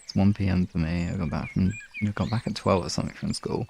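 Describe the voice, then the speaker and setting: Low, gravelly man chatting on stream. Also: fairly even intonation, fast, mellow, slight background noise. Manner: rambling, self-correcting, mildly sweary. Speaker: live streamer. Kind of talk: casual livestream chat.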